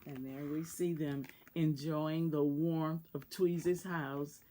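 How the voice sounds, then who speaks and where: A woman reading a children's storybook aloud, deliberately and in short phrases, as a volunteer reader. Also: deep voice, steady tone, dark tone, a little hiss or hum. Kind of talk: read-aloud children's storybook narration with asides about the pictures.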